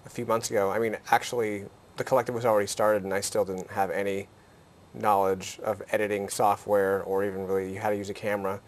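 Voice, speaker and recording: A man's voice: on the low side, level tone, fast. A man from a media collective speaking casually, with lots of "like" fillers. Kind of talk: casual interview conversation.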